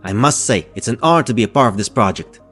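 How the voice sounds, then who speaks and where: A man's voice: mid-range voice, expressive, quick pace, a bit bright, breathy edge, soft background music. A man playing an eager, courteous physicist in a scripted audio drama, speaking formally and politely. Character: eager, courteous male physics scientist newly arrived.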